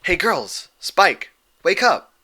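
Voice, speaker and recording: A man voicing a brash, teasing young female pony with a loud, cheeky, casual delivery. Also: high voice, very animated, takes it slowly, voice pushed up, full character voice. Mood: rousing.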